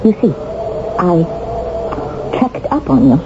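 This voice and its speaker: A woman's voice: fairly low pitch, big pitch swings, slow. An actress playing an intense, emotional young woman set on avenging herself on her torturer, in 1970s radio drama style. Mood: tense. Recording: muffled old radio broadcast, faint hiss.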